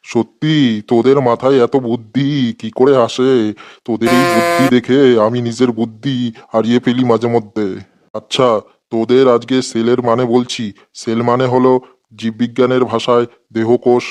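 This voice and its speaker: A man's voice: medium pitch, level tone, a little slow, darkish tone, lightly acted. A man as an exasperated scolding cartoon schoolteacher. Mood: patient, explaining. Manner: scolding, colloquial, sarcastic. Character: exasperated scolding male schoolteacher, comically threatening. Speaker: cartoon voice actor. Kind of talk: comedy cartoon dialogue.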